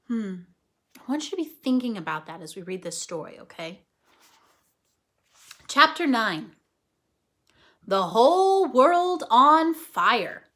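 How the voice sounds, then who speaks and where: A woman reading a children's story aloud as a storyteller, with dramatic, deliberate phrasing. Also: fairly high pitch, a bit bright. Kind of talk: expressive children's story narration.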